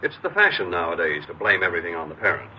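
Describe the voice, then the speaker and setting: A dull old radio recording with faint noise. a stern middle-aged father, low in pitch and widely varied in intonation, brusque, exasperated and quick to dismiss. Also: very animated, pushed and bright, exaggerated voice. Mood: irritated, dismissive. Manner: brusque, impatient. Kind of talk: old radio crime drama acting.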